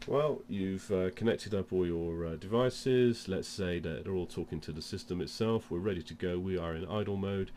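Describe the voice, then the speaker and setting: A man's voice: low-pitched, normal speed, hardly any pauses, a little mellow. A man with a cold presents an informal product demonstration, explaining things step by step. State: has a cold. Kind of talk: informal instructional demo.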